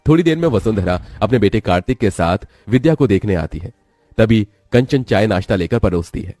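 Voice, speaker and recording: A man narrates a scripted story. His voice is fairly low and mellow. The recording is dry and close, with no room sound. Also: animated, brisk, no echo, soft background music.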